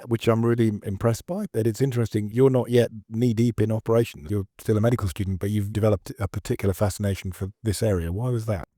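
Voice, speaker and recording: A man co-hosting a medical podcast, quickly and eagerly asking the guests questions. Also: lowish voice, steady tone, mellow, dry recording, clean studio sound. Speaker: podcast co-host. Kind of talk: interview questions.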